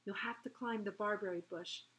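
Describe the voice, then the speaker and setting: A woman reader plays a failing mother hummingbird from a children's storybook. The voice is scratchy and hoarse, speaking in halting, weary phrases, honest and anxious for her eggs. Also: medium pitch, steady tone, a bit bright, natural voice. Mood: pleading, hushed.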